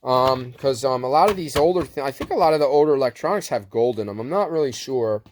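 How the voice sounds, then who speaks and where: Male reseller vlogger, mid-pitched, casual show-and-tell, unsure and meandering, with noticeable background noise. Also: animated, fast. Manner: rambling, unsure, thinking aloud. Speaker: online reseller showing thrift finds.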